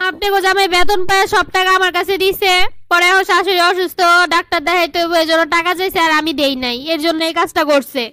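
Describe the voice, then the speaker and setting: A woman's voice: very high-pitched, fairly even intonation, fast, sharp tone, slight background noise. A woman voicing a bossy, money-grabbing young cartoon wife with a commanding, nagging manner and a Bangladeshi accent. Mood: aggrieved. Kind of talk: comedy cartoon dialogue.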